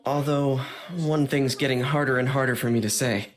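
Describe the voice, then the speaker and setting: Low-voiced, gentle teenage boy. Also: steady tone, normal speed. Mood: modest. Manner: hesitant, polite. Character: gentle, earnest, modest teenage boy student. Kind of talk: anime dub audio drama acting.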